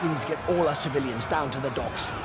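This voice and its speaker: A man's voice: medium pitch, fast talker, natural voice. An actor playing a commanding Roman military man barking battle orders in a dramatic video game style. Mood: urgent, commanding. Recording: noisy battle din, shouting crowd behind.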